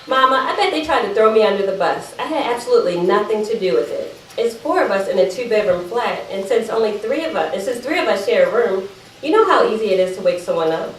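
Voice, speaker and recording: A woman's voice: mid-range voice, lively intonation, quick pace, faint music behind, lightly acted. Woman performing defensive teenage girl, roomy distant recording. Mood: pleading, evasive. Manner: defensive, apologetic, chatty digressions. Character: evasive teenage sister pleading her case to Mama. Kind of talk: dramatic monologue read aloud at a live event. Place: live event room, recorded from a distance. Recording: roomy, distant microphone, faint background noise.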